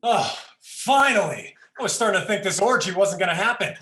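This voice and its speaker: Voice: medium pitch, lively intonation, fairly quick, a bit bright, lightly acted. An actor playing a snide, lewd, impatient cat in a stage script reading.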